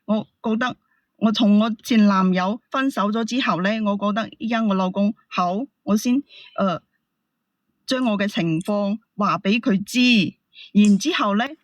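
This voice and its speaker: A woman's voice: medium pitch, a little slow, no echo. A woman with a Hong Kong Cantonese accent explaining herself as a caller, defensive and protesting. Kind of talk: heated personal explanation.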